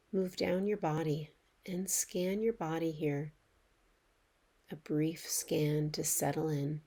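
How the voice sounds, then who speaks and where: A woman leading a guided relaxation meditation as a yoga nidra teacher, speaking in a soothing, gentle, measured way with pauses. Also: low-pitched.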